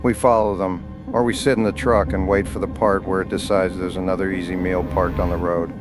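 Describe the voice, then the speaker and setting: A man's voice: low voice, little pitch movement, fairly quick, loud music behind the voice, natural voice. A man playing a grim, level-headed local hunter in a horror story, grave and matter-of-fact. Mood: grim, blunt. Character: grim, level-headed local male hunter who knows his prey. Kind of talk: scripted horror story dialogue.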